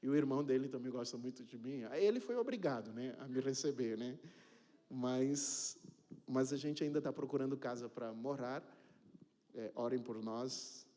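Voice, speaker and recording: A man's voice: mid-range voice, moderate pace, mellow. A man in his thirties, originally from Cape Verde, introducing himself as the new pastor to his congregation in a chatty, joking way, often checking in with his listeners. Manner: chatty and joking, tags sentences with "né?" and "tá bom?". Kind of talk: informal self-introduction to a congregation. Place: church sanctuary. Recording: reverberant church hall.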